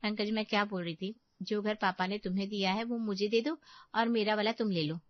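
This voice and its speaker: A woman's voice: mid-range voice, steady tone, brisk, no echo, highs cut off, lightly acted. A woman playing a self-assured, crafty married sister in a story, with an assertive, unbothered manner. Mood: breezy.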